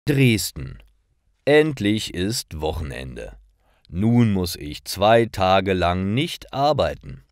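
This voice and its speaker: A man reading scripted stories for language learners in a clear, deliberate storytelling manner. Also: fairly low pitch, no echo, clean studio sound. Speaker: narrator of German learning stories.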